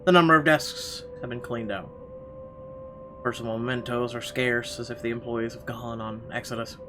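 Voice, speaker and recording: A man's voice: mid-range voice, steady tone. Man reading a game aloud over music. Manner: halting reading with casual asides. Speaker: gamer reading the story aloud. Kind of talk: let's play narration, reading aloud. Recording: game music clearly behind the voice.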